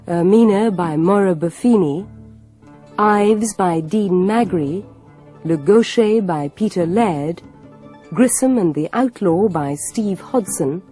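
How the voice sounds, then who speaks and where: A woman's voice: on the low side, dark tone. A woman reading a scripted announcement as a radio continuity announcer, in a formal, orderly way.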